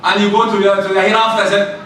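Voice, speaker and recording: Loud, fast, high-voiced preacher in echoey hall. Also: male voice. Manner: emphatic and lively. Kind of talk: sermon. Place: mosque. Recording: echoey hall from far off, faint noise.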